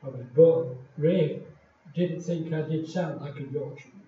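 An older man from England, a retired pastor, preaching in a slow, deliberate and emphatic way with many pauses. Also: a little high, dark tone. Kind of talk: sermon. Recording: echoey hall from far off, dull lo-fi.

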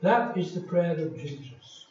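An older man from England, a retired pastor, preaching in a slow, deliberate and emphatic way with many pauses. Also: a little high, lively intonation, darkish tone. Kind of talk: sermon. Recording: echoey hall from far off, dull lo-fi.

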